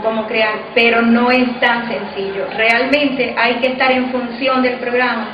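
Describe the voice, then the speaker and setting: A woman with a Cuban accent, a scriptwriter, speaking in a measured way in a documentary interview. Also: a little high. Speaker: children's radio scriptwriter. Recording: muffled, echoey, with crowd sounds.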